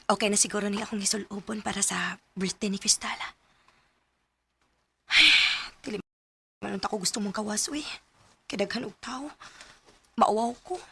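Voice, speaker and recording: A woman's voice: mid-range voice, normal speed, creaky, pauses often, bright, forceful tone, slight background noise. An actress playing a guilt-ridden, self-sacrificing young woman in a Filipino radio drama, with a Cebuano accent and an earnest, confiding way of speaking. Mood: shy, hesitant. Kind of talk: Filipino radio drama acting with letter narration. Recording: music under the voice.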